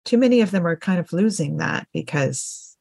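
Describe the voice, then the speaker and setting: A woman's voice: fairly low pitch, expressive, normal speed, creaky, darkish tone, breathy edge, dry recording. A middle-aged woman hosting a casual interview chat. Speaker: podcast host.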